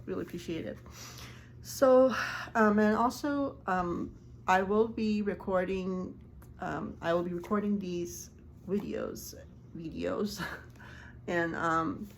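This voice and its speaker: A woman who grew up speaking Farsi, a life coach talking casually to camera in earnest, halting speech with many ums and restarts. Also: lowish voice, creaky, a little hiss or hum.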